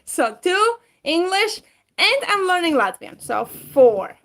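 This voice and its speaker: A woman's voice: very high voice, sing-song, takes it slowly, a bit bright. A woman in her twenties, a native Greek speaker, chatting casually and giggling on a livestream from a rural farmstead. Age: 20s. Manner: chatty and giggly, trailing off mid-sentence. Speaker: co-host of a homestead livestream. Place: rural farmstead, outdoors then indoors.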